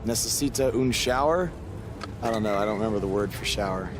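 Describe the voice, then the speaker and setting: An actor playing a young pro wrestler, casual and sarcastic, in a video game cutscene. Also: male voice, lowish voice, brisk, noisy background, natural voice. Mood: playful, wry.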